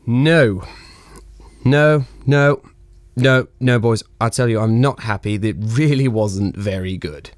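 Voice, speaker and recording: A man's voice: mid-range voice, dry recording, clean studio sound, close to an everyday voice. A man acting an ebullient, irreverent English engineer in charge in a 1920s radio play, with theatrical, bantering old-chap phrasing. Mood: indignant, exasperated. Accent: British.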